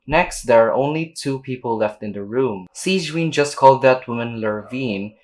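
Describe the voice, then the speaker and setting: Very low-voiced woman game streamer. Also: animated, normal speed, darkish tone. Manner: slangy, reactive. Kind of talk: casual let's play commentary, reading dialogue aloud. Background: faint game music.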